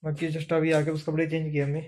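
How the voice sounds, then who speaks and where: A man's voice: fairly high pitch, monotone, fast talker, a little mellow. A young man casually narrating a travel vlog into his phone, chatting to viewers as guys, first outdoors by the sea and later at home. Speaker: vlogger.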